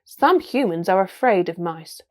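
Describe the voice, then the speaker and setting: A woman playing a young male High King, fair-minded, gracious and measured, in a storybook reading. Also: lively intonation, darkish tone. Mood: grave, courteous.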